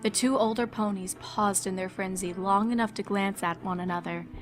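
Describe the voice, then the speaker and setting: A woman narrates a story in a scripted, storybook style. Her voice sits at a medium pitch, with soft music playing underneath. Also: fairly even intonation, moderate pace. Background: background music.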